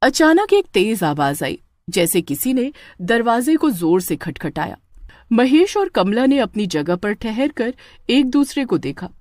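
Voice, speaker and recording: Expressive woman narrating a horror tale. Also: fairly high pitch, measured pace, dry recording, clean studio sound. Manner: dramatic, with stammering in character lines. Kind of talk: scripted horror story narration.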